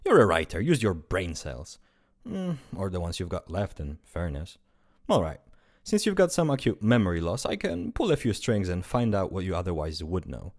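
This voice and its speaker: A man's voice: low-pitched, sing-song, normal speed, a little mellow, heavily acted. A man playing a breezy, condescending afterlife closure officer in an amateur radio drama. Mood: weary. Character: fussy, glib male afterlife closure officer.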